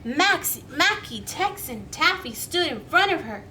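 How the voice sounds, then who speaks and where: A woman's voice: high voice, very animated, unhurried, bright, forceful tone, slight background noise. A woman reading a children's story aloud expressively, inviting young listeners to join in. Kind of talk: animated children's read-aloud.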